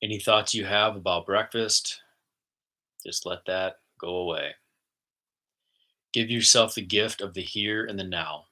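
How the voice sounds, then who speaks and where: A man talking casually as a spiritual host, chatty and easygoing, then slow and soft when he guides a relaxation exercise. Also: lowish voice. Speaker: spiritual host and intuitive channeler.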